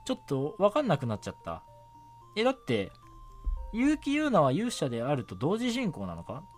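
Young man chatting with very animated pitch. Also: moderate pace. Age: young adult. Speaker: radio show host. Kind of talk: casual solo radio chat. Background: background music.

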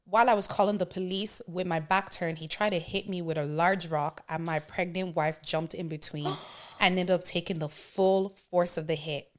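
A woman hosting a podcast, chatting casually with slang and reading stories aloud to friends in an animated way. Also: fairly low pitch, normal speed. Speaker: podcast host.

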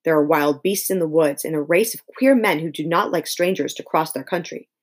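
A woman's voice: deep voice, fairly quick, breathy edge. A woman reading a storybook, voicing a timid male soldier who explains things plainly. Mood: cautioning. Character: timid male soldier with green whiskers, informative.

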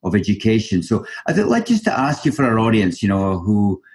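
Lower-voiced male podcast host. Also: big pitch swings, brisk, a little mellow. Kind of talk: interview hosting.

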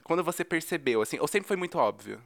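A man's voice: a little high, lively intonation, fast talker, gravelly. A man with a Brazilian accent hosting a podcast in a casual, chatty way, effusive and slangy, given to playful exaggeration. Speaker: podcast host.

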